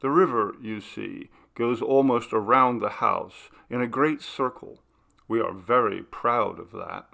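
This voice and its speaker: A man reading aloud, voicing an amused, courteous young gentleman in a ghost story. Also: mid-range voice, measured pace, gravelly. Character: young gentleman host, Paul, amused and mysterious.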